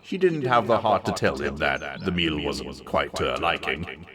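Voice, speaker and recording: A man's voice: very deep voice, animated, moderate pace, a crowd in the background. A man reading a story aloud in a dramatic narrating style. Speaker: story reader.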